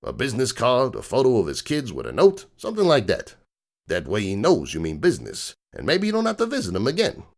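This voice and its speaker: A man playing a gruff, streetwise crook horse uncle who tells bedtime stories in a slangy, cynical, digressing way. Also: mid-range voice, huge pitch swings, fairly quick. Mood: wry, instructive. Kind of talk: dramatic reading of a comic bedtime story. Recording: music bed behind the voice.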